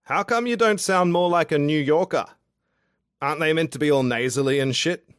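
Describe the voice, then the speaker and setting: A man's voice: a little high, normal speed, clear, resonant tone, no echo, studio quality, voice pushed up, lightly acted. A man voicing a cocky, tipsy young man from the outback, speaking in a brash, teasing, sing-song way. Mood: chatty.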